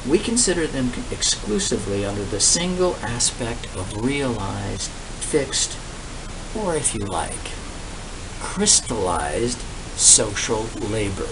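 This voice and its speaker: A man in his sixties reads a speech aloud outdoors, with deliberate, emphatic phrasing, pauses and repeated words. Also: fairly low pitch. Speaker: reader of a political economy text. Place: outdoors on a brick-paved patio. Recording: distant mic, steady outdoor background noise.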